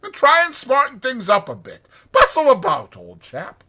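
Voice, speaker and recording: Man doing a high, hearty storybook rat. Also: wildly swooping pitch, sharp tone, full character voice. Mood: bustling. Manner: exclamatory, brisk old-chap chumminess. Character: hearty, bossy, cheerful rat, a loyal friend.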